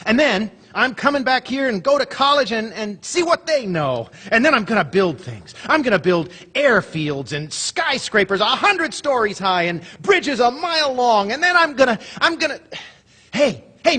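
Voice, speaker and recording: A man's voice: very high voice, big pitch swings, moderate pace, bright, forceful tone, slightly rough, full character voice. An actor in a live radio drama playing an eager, idealistic young man who talks a lot and flares up when crossed. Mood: eager, dreamy. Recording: theater stage with long reverb.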